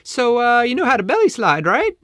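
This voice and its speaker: A man's voice: very high-pitched, wildly swooping pitch, brisk, sharp tone, no room sound, studio quality, pitched above the performer's own voice, heavily acted. A man voicing a perky, eager female penguin in a children's story, bubbly and encouraging.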